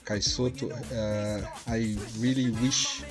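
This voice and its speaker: A man in his forties from Brazil with a Brazilian Portuguese accent, hosting a casual vlog in halting non-native English full of fillers, recorded on a headset in a small home studio. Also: medium pitch, flat delivery, music in the background. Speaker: channel host. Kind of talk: casual vlog address to viewers.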